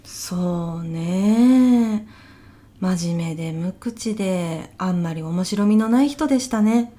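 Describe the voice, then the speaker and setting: Woman acting as elderly widow, mellow dark tone, polite housewife, soft-spoken, bewildered deadpan, radio comedy. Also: lowish voice, slow. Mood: puzzled. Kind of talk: audio comedy sketch acting.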